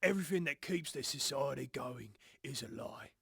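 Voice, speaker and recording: Man playing a gravelly, husky, tense boss. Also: medium pitch, moderate pace, fairly bright tone, no echo, studio quality, exaggerated voice. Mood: grim, urgent. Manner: grim, resigned, sternly defensive. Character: tense, defeated male boss guarding a terrible secret. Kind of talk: audio drama acting.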